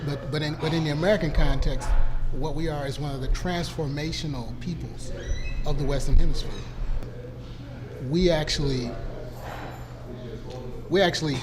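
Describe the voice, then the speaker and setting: A man with a somewhat high voice speaks on a roundtable panel, halting and restarting as he searches for the right words. Clear murmur of other people sits behind him. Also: steady tone, a crowd in the background. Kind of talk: panel discussion.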